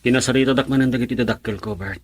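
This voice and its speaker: A man's voice: medium pitch, racing, dry recording, natural voice. A man playing an earnest, troubled young husband in a radio drama. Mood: upset.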